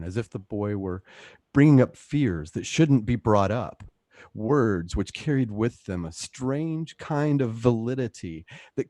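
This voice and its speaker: A man's voice: fairly low pitch, dark tone, no room sound. A man narrating a suspense radio play in a dramatic, scripted style.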